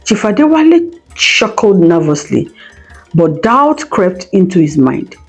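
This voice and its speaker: Lively low-pitched woman telling a story. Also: a little slow, lo-fi sound. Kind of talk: scripted folktale narration. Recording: music behind the voice. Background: background music.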